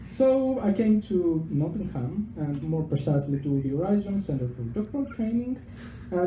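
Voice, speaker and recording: A young Greek-accented man talks into a handheld mic in an echoey pub venue, with faint ambience behind him. His voice is dark and mellow, and his pitch rises and falls in a lively way. He is chatty and self-deprecating, and he often trips over and repeats his words. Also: a little hiss or hum. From Greece. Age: young adult. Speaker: PhD researcher giving a public talk. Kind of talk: informal public science talk. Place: pub venue with a live audience. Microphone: handheld microphone.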